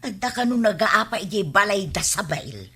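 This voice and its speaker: A woman's voice: medium pitch, lively intonation, moderate pace, pushed and bright. A woman playing a gossipy Filipino village neighbour in a radio comedy drama, tattling about others.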